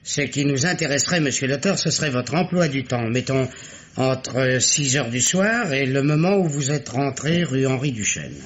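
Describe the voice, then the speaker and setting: An actor playing an insistent, skeptical deputy police detective in a French radio mystery, with an insinuating, mock-polite way of talking. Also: male voice, medium pitch, normal speed, close to an everyday voice. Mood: needling. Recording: dull, noisy old radio recording.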